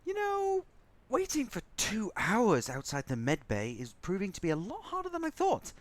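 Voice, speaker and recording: A man's voice: high-pitched, wildly swooping pitch, normal speed, slight background noise. A man playing a sassy, theatrical, frazzled robot crew member in an audio drama, with a sardonic, stubborn manner. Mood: restless, exasperated.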